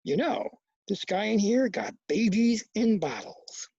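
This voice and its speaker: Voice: mid-range voice, big pitch swings, a little slow, mellow, breathy edge, no room sound, dull audio, exaggerated voice. A reader voicing a timid, earnest young boy from a novel, talking in a plain, guarded, slangy way.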